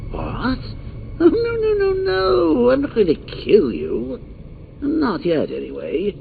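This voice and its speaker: An actor playing a taunting, manic male clown villain in a video game, with a gravelly, theatrical voice that swings between whispers and shouts. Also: extremely high pitch, wildly swooping pitch, slow pace, a little hiss or hum, heavily acted. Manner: mocking, sing-song cruelty. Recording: tinny lo-fi audio with music underneath.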